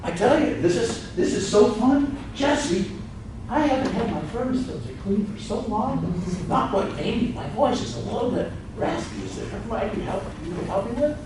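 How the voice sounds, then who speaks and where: A man's voice: sits high, expressive, moderate pace, noisy background, voice pushed up. A man playing an ancient, fussy male angel who rambles and giggles while teasing a crowd, recorded from far off in an echoey event room.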